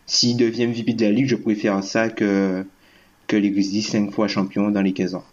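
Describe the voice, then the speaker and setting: Low-voiced man from Guadeloupe, lo-fi. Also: flat delivery, moderate pace. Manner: thoughtful, searching for words. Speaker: panelist. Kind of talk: casual basketball chat. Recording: dull lo-fi sound.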